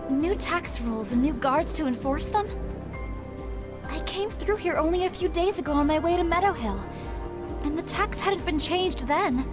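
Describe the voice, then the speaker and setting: A woman's voice: high voice, animated, moderate pace. A woman voicing an earnest, fair-minded young female mage-knight in a fantasy visual novel game. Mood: suspicious, thoughtful. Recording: music behind the voice.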